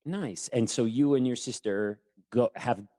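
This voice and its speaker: A man's voice: medium pitch, a little slow, mellow, no room sound, studio quality. A man hosting a casual interview podcast, talking in an enthusiastic, rambling, self-deprecating way. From United States. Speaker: podcast host and former teacher.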